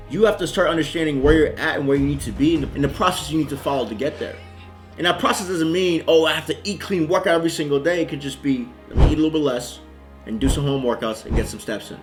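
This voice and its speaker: A young man from Haiti, a fitness coach, giving a blunt motivational talk with casual slang. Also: mid-range voice.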